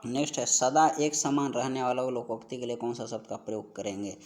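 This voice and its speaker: A man with a medium-pitched voice gives an exam-prep lesson. He works through practice questions in an instructive way, saying questions and answers over again so that they stick. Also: brisk, a little mellow. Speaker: Hindi grammar teacher for exam preparation.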